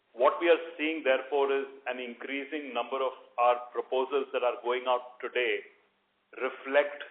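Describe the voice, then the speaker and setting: A man, a company executive giving careful, jargon-heavy answers on an earnings call. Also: medium pitch. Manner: business jargon, careful and deliberate. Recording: narrowband phone conference line.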